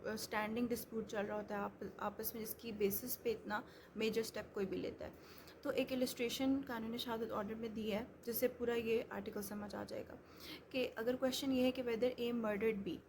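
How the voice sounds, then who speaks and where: A woman's voice: on the high side, fairly even intonation, moderate pace. A woman explaining law step by step, many hesitations and restarts. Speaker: law lecturer. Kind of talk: explanatory lecture. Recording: noisy background hiss.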